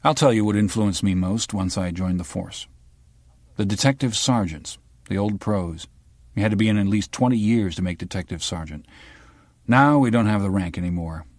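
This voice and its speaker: A man voicing a deadpan police lieutenant. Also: fairly low pitch, normal speed, dry recording, natural voice. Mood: dry. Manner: dry, deadpan replies. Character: guarded, dry-humored man, a police lieutenant.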